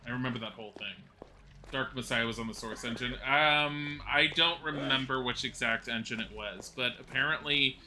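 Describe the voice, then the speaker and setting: A man's voice: mid-range voice, bright, forceful tone, slight background noise. A man in his forties, a streamer chatting casually and rambling while he plays and talks with viewers. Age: 40s. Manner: rambling, chatty, laughs at own mishaps.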